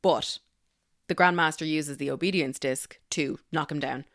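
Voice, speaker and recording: A woman's voice: deep voice, fairly bright tone, dry recording, studio quality. A woman co-hosting a podcast, recapping a movie with enthusiastic, gushing chat. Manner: enthusiastic, gushing, quick to laugh. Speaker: podcast co-host.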